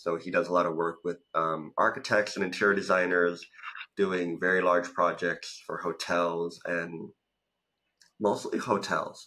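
A man's voice: deep voice, bright, forceful tone. A man in his thirties from Thailand, a guest talking casually about his business path with many "like" fillers, recorded on a video call in a home room. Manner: talkative, storytelling with many "like" fillers. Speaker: guest, business coach and founder. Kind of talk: casual interview. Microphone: studio mic in front, video call.

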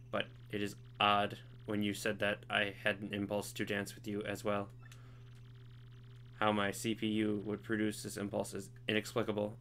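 A man voicing a naive learning computer in a game, in a flat monotone. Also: fairly low pitch, a little hiss or hum.

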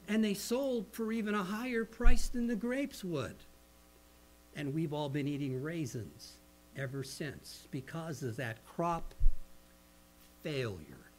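A middle-aged man with an American accent preaching a sermon in an earnest, deliberate manner, stressing key phrases. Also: medium pitch. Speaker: pastor. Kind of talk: sermon with slides, measured public speaking. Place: indoor meeting hall at a lectern. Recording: noisy live hall recording with hum.

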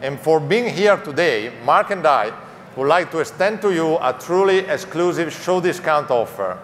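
A middle-aged man with an Italian accent, presenting at a press conference in an affable, slightly halting way. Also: fairly high pitch, animated, fairly bright tone, a little hiss or hum. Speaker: company executive presenting. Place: large convention hall stage. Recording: echoey convention hall with faint ambience.

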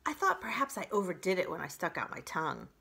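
A woman voicing a theatrical, easily flattered female woodland creature in a storybook, with a dramatic manner. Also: fairly low pitch, fast, creaky, breathy edge, exaggerated voice.

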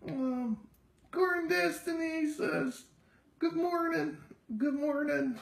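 A performer voicing a vain, sassy female house cat with a raspy, drawling voice, live-streaming from a home kitchen on a phone. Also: high voice, reverberant, exaggerated voice, low-quality recording. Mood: smug. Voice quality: raspy, gravelly. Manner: slow, drawling, full of herself. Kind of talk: live-stream pet narration. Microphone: phone camera held by the speaker.

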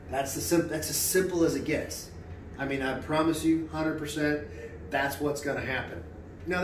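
A man's voice: mid-range voice, a little hiss or hum. A middle-aged man explaining things at a whiteboard in a small office, talking plainly with lots of fillers and checking in with "right?". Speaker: real estate agent. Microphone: camera a few steps away. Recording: echoey room, distant camera mic.

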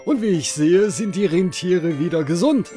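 A man's voice: high-pitched, animated, normal speed, dark tone, soft background music. A man voicing a friendly, modest old man in the moon in a children's audio story, genial and theatrical.

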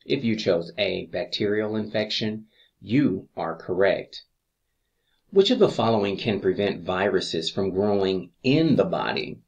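Slow-paced, low-voiced male quiz narrator. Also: expressive, gravelly, a little mellow, lo-fi sound. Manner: measured, deliberate reading with pauses between answer choices. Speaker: instructor reading review quiz questions. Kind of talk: scripted exam review narration.

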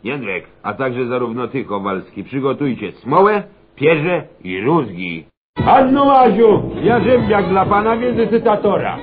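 A bossy, blustering lecturer in a radio comedy. His high voice drags slowly through pompous, garbled orders with a very animated melody. The sound is thin and radio-like. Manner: blustering, malapropisms, barking orders. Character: boorish, bossy, self-important male course lecturer. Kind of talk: satirical radio comedy acting. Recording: muffled radio recording, faint music.

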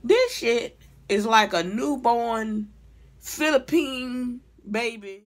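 A woman's voice: on the high side, very animated, slow. Blunt, exasperated middle-aged woman. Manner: blunt, profane, exasperated, conversational. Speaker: vlogger and hair stylist. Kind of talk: casual comedic product rant. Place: small home room, indoors.

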